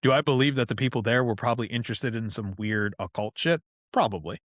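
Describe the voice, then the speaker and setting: A man's voice: medium pitch, fast talker, fairly bright tone, dry recording, clean studio sound. A man guesting on a podcast, telling stories in a loose, chatty way with lots of "like" and casual swearing. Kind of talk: casual storytelling chat.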